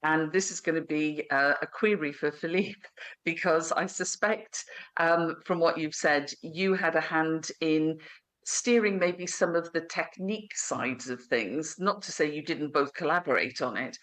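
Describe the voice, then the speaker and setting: A woman's voice: sits low, a little slow, fairly bright tone. A woman hosting a podcast interview, asking her guests about their book with encouraging remarks. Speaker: podcast host.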